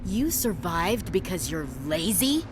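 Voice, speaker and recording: An actress playing a tough, hot-tempered young soldier in a video game, blunt and forceful. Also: female voice, lowish voice, very animated, slow pace, noisy background. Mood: angry, scornful.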